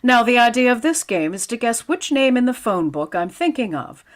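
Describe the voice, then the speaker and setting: A woman performs as a wry schoolteacher in a 1940s-style radio comedy. She declaims with theatrical flair, her highish voice swinging widely in pitch. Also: sing-song, moderate pace. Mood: playful hostess. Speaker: lead actor in a radio comedy.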